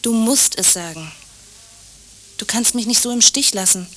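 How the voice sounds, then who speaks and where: A woman's voice: on the high side, lively intonation, moderate pace, sharp tone. A woman playing an anxious, clinging, lovestruck landlady in a naturalistic radio drama, insistent and repeating her short phrases. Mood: anxious, pleading.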